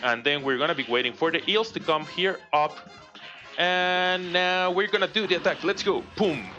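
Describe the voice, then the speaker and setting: Mexican guy with a spanish accent talking thru a game run, chill and chatty, kinda dull lo-fi sound with loud game music behind. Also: high-pitched, normal speed. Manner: relaxed, chatty, laughs often, reads chat aloud. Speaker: speedrunner. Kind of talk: live speedrun commentary.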